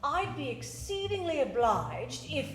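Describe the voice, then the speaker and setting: A woman playing a nervous young gentleman suitor in a live radio play, stammering, flustered and overly formal. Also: sits high, big pitch swings, unhurried, exaggerated voice. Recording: roomy stage sound from a distance, with music.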